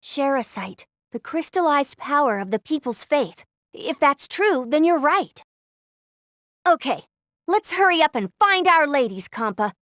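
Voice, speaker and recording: Brash, animated anime game girl. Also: sits high, measured pace, no echo, clean studio sound. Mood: resolute. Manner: blunt, exasperated. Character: brash, impatient, protective tomboyish young girl.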